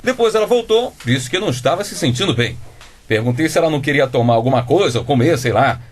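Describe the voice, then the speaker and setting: Husky, indignant, pompous husband, acted by a man. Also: mid-range voice, animated, fast talker, close to an everyday voice. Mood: defensive. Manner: pompous, theatrical. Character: indignant, self-righteous husband, a pedantic Portuguese teacher. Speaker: radio drama actor. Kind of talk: comic radio drama acting.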